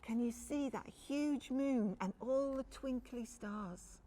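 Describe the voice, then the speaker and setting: A woman's voice: fairly high pitch, slow pace, dark tone, a little hiss or hum. A woman storyteller reading a picture book expressively to young children, addressing them directly.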